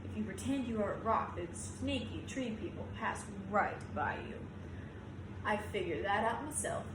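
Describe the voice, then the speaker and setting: A woman's voice: mid-range voice, expressive, moderate pace, lightly acted. A woman voicing an imaginative young boy, boastful yet easily scared, in a storybook read-aloud. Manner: exclaiming, childlike phrasing. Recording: roomy echo, clear background noise.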